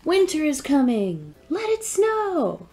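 A woman's voice: high voice, huge pitch swings, takes it slowly, warm tone, soft background music. A young adult woman reading picture books aloud as a children's librarian, encouraging and speaking directly to young listeners. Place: indoor library room with bookshelves.

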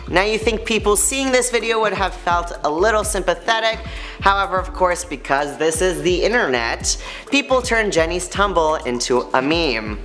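A young man with a high-pitched voice hosting an upbeat, scripted news recap in a studio, chatty and playful. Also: a bit bright. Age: young adult. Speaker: host.

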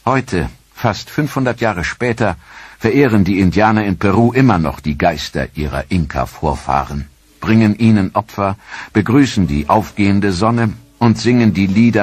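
Deep husky male documentary narrator. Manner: measured, solemn storytelling.

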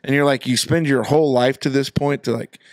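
A man's voice: mid-range voice, fairly even intonation, a little fast, no echo. Podcast host man, dry studio sound. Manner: reads news aloud, then chats loosely. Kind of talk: casual chat.

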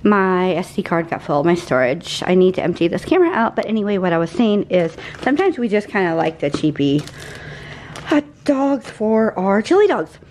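Chatty woman with a lively voice. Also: fairly low pitch, normal speed, slight background noise. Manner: chatty, rambling, easily sidetracked. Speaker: vlogger. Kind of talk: casual grocery haul chat. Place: home kitchen.

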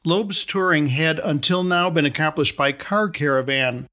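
Middle-aged guy reading his flying story, measured and wry, slightly high voice. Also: bright, forceful tone. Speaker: podcast host and narrator. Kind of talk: scripted storytelling narration.

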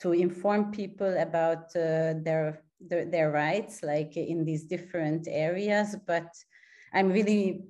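Woman, lowish voice, halting, restarts, video call. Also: steady tone, mellow. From Hungary. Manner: searching, many restarts and fillers. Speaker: audience member asking a question. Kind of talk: online seminar question.

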